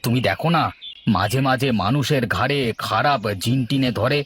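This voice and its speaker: A man's voice: medium pitch, moderate pace, fairly bright tone, slight background noise, natural voice. A man voicing a mild, concerned village uncle in a cartoon, in a conversational, coaxing way. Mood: worried, tactful. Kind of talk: amateur cartoon dubbing.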